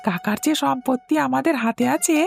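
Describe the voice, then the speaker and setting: A woman's voice: sits high, brisk, vocal fry, faint music behind. A woman voicing a spoiled, scheming young schoolboy in a cartoon, whiny and smug like a tattling mother's pet. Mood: sly, scheming. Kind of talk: Bengali cartoon voice acting.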